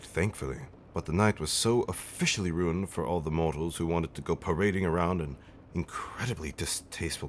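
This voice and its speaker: A man playing a centuries-old vampire husband in an intimate comfort roleplay, tender and theatrically fussy, with genteel, old-fashioned wording and dramatic pauses. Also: very deep voice, a little hiss or hum. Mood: indignant storytelling. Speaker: audio roleplay performer.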